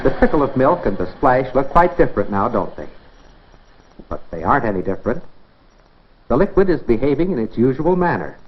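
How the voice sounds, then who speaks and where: A man's voice: medium pitch, big pitch swings, a little fast, pauses often, a little hiss or hum. An American man presenting an educational science film in a scripted 1950s style, instructive and addressing the viewer directly with rhetorical questions. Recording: dull band-limited 1950s film soundtrack.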